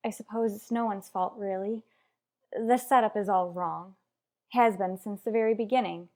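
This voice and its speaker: A woman's voice: mid-range voice, fairly even intonation, moderate pace, darkish tone, close to an everyday voice. A woman playing an anxious, unhappily married young wife in a radio soap drama, defensive with her family. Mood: hurt, defensive.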